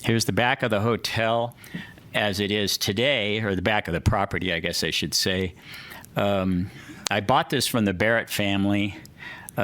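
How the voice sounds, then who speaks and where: A man's voice: fairly low pitch, a bit bright. A man presenting plans for a hotel to a city commission at a public meeting, reading from a prepared statement, listing points with a few fillers.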